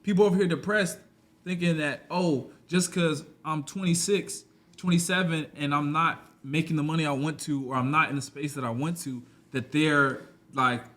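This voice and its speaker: A man's voice: fairly high pitch, fairly even intonation, moderate pace, a bit bright. Young man, rambling casual talk. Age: 20s. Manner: loose and rambling, full of "you know what I'm saying". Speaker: podcast guest. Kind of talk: casual conversation.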